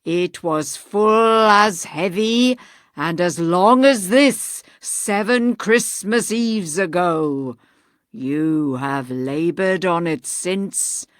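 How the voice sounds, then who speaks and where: A woman voicing a doomed, chained male ghost who speaks slowly and gravely in a mournful lament. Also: no room sound, clean studio sound. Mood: solemn. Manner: slow, grave, booming lament. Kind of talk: storybook reading.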